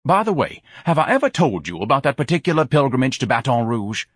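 A man's voice: mid-range voice, big pitch swings, quick pace, breathy edge, dry recording, clean studio sound, voice pushed up. A man voicing a pompous, self-pitying, overeducated fat man who speaks in grand, ornate phrases and is easily outraged, in an audiobook. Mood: haughty lecturing.

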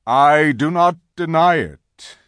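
A performer voicing a grave, majestic male lion king in a storybook, stern and measured with a growl. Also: lively intonation, a bit bright, smooth, no room sound, clean studio sound. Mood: solemn, stern. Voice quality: low growl.

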